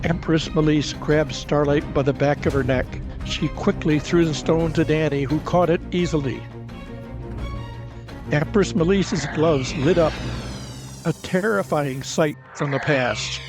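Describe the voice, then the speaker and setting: A man's voice: fairly high pitch, a little slow. A man giving dramatic, scripted narration for a fantasy audio drama. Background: music.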